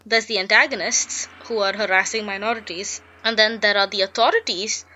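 A woman's voice: medium pitch, fairly even intonation, a little fast, pushed and bright, slight background noise. A young woman, reading a scripted personal essay in an articulate, sharply political and wry way. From India. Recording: dull lo-fi recording.

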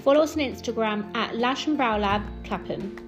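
A woman who co-owns a salon reads a scripted ad in a bright promotional tone. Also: on the high side, normal speed, dull audio, soft background music. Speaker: salon co-owner in an ad. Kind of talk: scripted ad read.

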